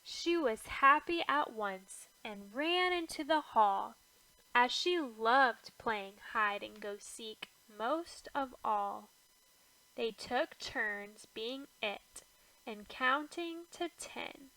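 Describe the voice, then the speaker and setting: A woman reading a rhyming children's picture book aloud in an expressive, rhythmic way. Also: a little high, poor audio. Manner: expressive, measured rhythmic verse delivery. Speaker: storybook reader.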